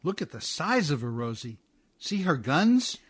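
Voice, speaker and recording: A man's voice: on the high side, big pitch swings, unhurried, a bit bright, slightly rough, heavily acted. A man playing a scrappy, good-hearted riverboat captain in an old-time radio drama, with folksy, ungrammatical working-man speech. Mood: wary, reflective.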